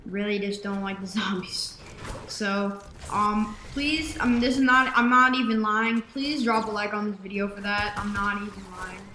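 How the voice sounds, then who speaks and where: A young woman talks through her gameplay in a bright, slightly raspy voice, piling on fillers as she rambles. The room around her mic is noticeably echoey, with a faint hiss underneath. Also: medium pitch, moderate pace. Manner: rambling, filler-heavy, excitable. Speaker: gaming YouTuber. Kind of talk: casual gameplay commentary.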